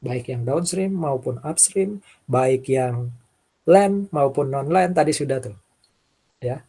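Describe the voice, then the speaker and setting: A man with a husky voice, lecturing informally and talking through worked examples, often checking in with short tags. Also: medium pitch, lively intonation, slow pace, warm tone. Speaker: accounting lecturer.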